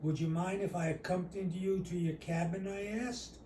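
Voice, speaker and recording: A man reading his own short story aloud as its author, in a measured, deliberate storytelling manner. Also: high-pitched, fairly even intonation, mellow. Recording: roomy, distant mic, faint noise.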